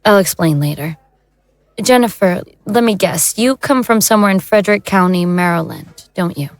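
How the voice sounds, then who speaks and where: A woman's voice: lowish voice, normal speed, no room sound, close to an everyday voice. A woman playing a soothing, decisive investigator of the occult in an audio drama. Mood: calm, decisive.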